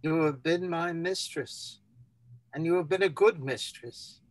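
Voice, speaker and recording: Slow, theatrical man reading aloud. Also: fairly high pitch. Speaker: author reading a literary text. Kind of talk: dramatic literary reading.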